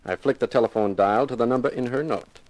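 A man's voice: mid-range voice, quick pace, noisy background, natural voice. A man playing a tough, wisecracking private eye in a 1950s radio detective drama, with a wry way of talking. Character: wisecracking, hard-boiled male private eye with a grudge. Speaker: lead actor and narrator. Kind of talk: 1950s radio detective drama, first-person narration and dialogue.

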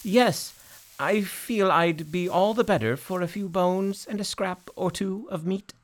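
A man's voice: high-pitched, unhurried, voice pushed up. A man voicing a hungry, eager greyhound in a storybook tale. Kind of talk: storybook character voice.

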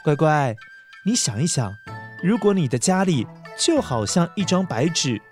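A woman's voice: deep voice, very animated, normal speed, a little mellow. A woman narrating a children's story expressively, speaking directly to young listeners. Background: faint music.

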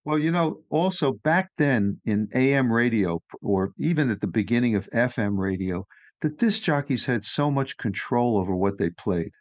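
A man's voice: medium pitch, animated, moderate pace, dry recording. A chatty man from New York hosts a podcast, leaning into his questions and opinions. His voice comes through a dull, lo-fi line. The sound is dry, with no room around it. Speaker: podcast host. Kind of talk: podcast interview.

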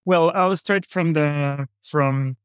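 A man with a Latin American Spanish accent and a smooth, clear voice pitched slightly high. He speaks conversationally as an interview guest, in a dry recording. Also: slow pace, no room sound. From Colombia, grew up in Costa Rica. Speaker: podcast guest, company founder.

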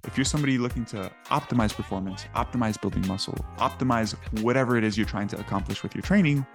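A man's voice: fairly low pitch, fast, faint music behind. A man hosting a podcast in casual chat, upbeat and often tagging "right?" onto his points. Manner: upbeat, peppers talk with "right?". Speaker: podcast host.

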